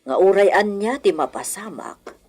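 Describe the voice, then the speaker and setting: An actress playing a brooding, secretive elderly wealthy matriarch with a husky voice, in radio drama style. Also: female voice, medium pitch, animated, moderate pace, darkish tone. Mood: secretive, grave. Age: older.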